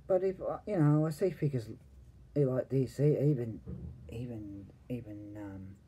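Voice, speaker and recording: A man in his forties casually reviewing a toy at home, hesitant and often trailing off quietly, recorded on a webcam. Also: mid-range voice, a little slow, breathy edge, rough recording. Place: home room full of toys and posters.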